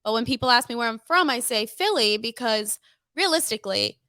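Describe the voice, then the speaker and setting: A woman's voice: a little high, animated, fairly quick, bright, forceful tone, no room sound, clean studio sound. A woman in her twenties from New Jersey, co-hosting a casual chat show with a chatty, self-mocking manner. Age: 20s. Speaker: co-host.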